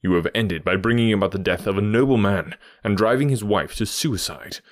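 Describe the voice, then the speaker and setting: A stern English detective of classic storybooks, voiced by a male narrator, very deep and self-assured. He speaks with quiet authority in a dry, close recording. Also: lively intonation, normal speed, no echo.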